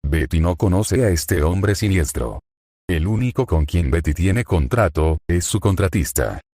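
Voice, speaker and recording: Disdainful little spirit girl, voiced by a deep-voiced man, dry. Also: animated, unhurried, mellow, slightly rough, dry recording, full character voice. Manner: haughty, disdainful.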